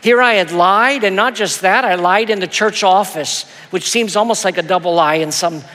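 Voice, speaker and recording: A male pastor telling a story in a sermon, with a soft, soothing voice and a gentle, self-deprecating manner that stumbles into little repeats. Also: high voice, normal speed. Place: church auditorium. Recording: long hall reverb.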